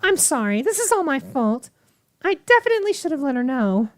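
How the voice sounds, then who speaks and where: A woman voicing a soft-spoken, coldly obsessive young female killer from a visual novel, measured and sinister. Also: high voice, very animated, no echo. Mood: feigned remorse. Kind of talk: visual novel character reading.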